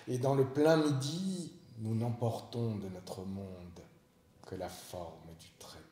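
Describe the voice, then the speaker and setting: Slow, low-voiced man reciting poetry. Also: darkish tone, echoey room. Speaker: poetry reader. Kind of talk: solemn literary poetry recitation.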